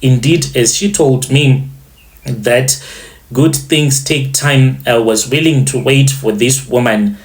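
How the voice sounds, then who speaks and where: Male narrator for first-person dramatic tales, medium pitch, deliberate and theatrical, leaning on repetition for effect. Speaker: storyteller.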